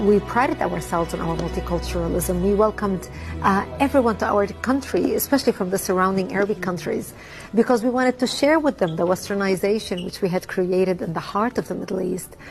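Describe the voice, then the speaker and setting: A woman, giving a measured, earnest personal testimony with frequent ums. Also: medium pitch, music in the background. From Lebanon. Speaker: interviewee.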